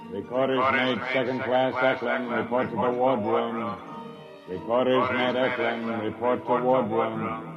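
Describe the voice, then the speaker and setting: A man playing an official spaceship loudspeaker announcer, calling out orders in 1950s radio style. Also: mid-range voice, little pitch movement, unhurried, close to an everyday voice. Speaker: actor in a radio drama. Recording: muffled radio recording with loud music.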